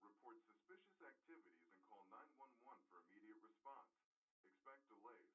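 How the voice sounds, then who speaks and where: A man's voice: on the low side, animated, normal speed, sharp tone. A man playing an emergency broadcast announcer, reading public safety instructions through a radio filter. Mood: official. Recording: hissy, distant, noisy radio broadcast.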